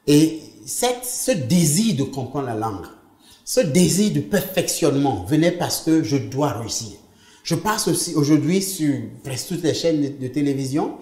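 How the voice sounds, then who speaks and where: A man's voice: on the high side, animated, normal speed, warm tone. A man whose first language is English, speaking as an interview guest and telling his own story in an earnest, emphatic way. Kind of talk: personal testimony in conversation. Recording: roomy echo.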